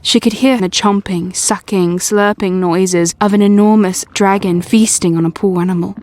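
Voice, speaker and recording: A woman's voice: medium pitch, fairly even intonation, normal speed, darkish tone. A woman reading scripted fairy-tale narration in a storybook storytelling manner.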